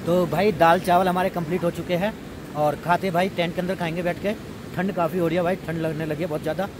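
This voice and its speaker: A man's voice: high voice, fairly even intonation. Chatty man, noisy outdoor vlog. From India. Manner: chatty, informal, addresses viewers as friends. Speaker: vlog host. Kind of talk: casual camping vlog narration to viewers. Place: outdoors at a riverside campsite. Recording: noisy outdoor recording, rushing river. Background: loud ambient river noise.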